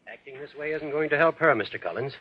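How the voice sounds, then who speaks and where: An actor playing a firm, persuasive doctor, formal and earnest, in 1940s radio drama style. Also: male voice, fairly high pitch, fast, breathy edge. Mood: firm, reassuring. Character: firm, insistent doctor, persuasive and self-assured. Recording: muffled old radio recording.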